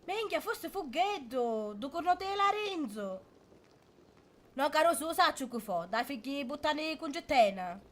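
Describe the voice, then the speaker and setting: A woman's voice: high voice, unhurried. A woman playing a foul-mouthed, hot-tempered character in an amateur parody, ranting coarsely with a Sicilian accent. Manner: coarse, excitable dialect ranting. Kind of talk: amateur dialect film parody.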